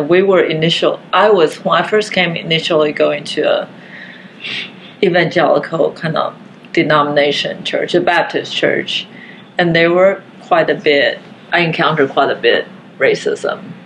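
Slow, gravelly woman. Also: low voice, a little hiss or hum, low-quality recording. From Taiwan. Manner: slow, halting, earnest, frequent fillers. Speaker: podcast guest. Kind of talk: casual discussion. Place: home living room.

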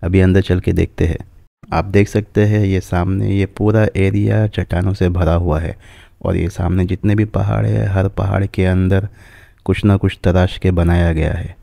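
Man, travel vlog narration, outdoors, dark gravelly voice, steady tone. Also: deep voice, moderate pace, dry recording. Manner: explains sights to viewers as he walks. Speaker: travel vlogger. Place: outdoors at a desert rock site.

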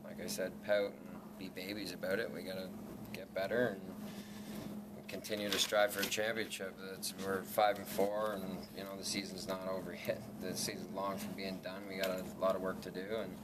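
A young man in his twenties, a lacrosse player, answering reporters after a game in a halting way with many restarts. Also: sits low, flat delivery. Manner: halting, many restarts and fillers, laughs self-consciously. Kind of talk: post-game media scrum. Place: press backdrop room indoors. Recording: noisy room ambience.

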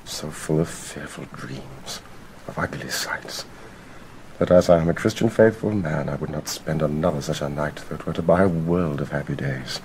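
An actor playing a troubled, haunted nobleman held prisoner, speaking gravely in a Shakespearean drama. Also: very deep voice, normal speed, darkish tone, a little hiss or hum, poor audio. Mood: weary dread. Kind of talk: theatrical Shakespearean acting.

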